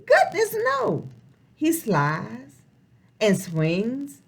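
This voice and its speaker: A woman reading a picture book aloud to young children, expressive and sing-song, posing questions as she goes. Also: fairly high pitch, drawn-out words, creaky, slightly rough. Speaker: children's storybook reader.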